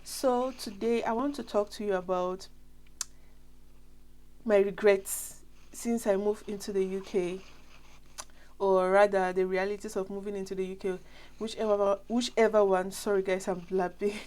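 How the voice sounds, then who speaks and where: Young cameroonian lady w a flu, medium pitch, rambling casual chat to her followers. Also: fairly even intonation, moderate pace, pauses often, a little mellow. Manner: chatty, rambling, direct address to viewers. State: has a flu. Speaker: vlogger.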